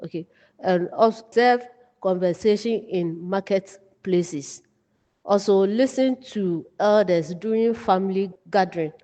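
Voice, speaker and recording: A woman giving an instructive lesson, speaking deliberately in short phrases. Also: mid-range voice, warm tone, dull audio. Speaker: writing teacher. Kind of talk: lesson narration with read-out examples. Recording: long reverberant echo.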